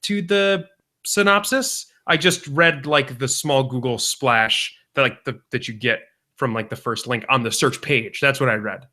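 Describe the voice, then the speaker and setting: A young man co-hosting a podcast, rambling through deadpan jokes in casual banter. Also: medium pitch, measured pace. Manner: deadpan joking, rambling with restarts. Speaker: podcast co-host.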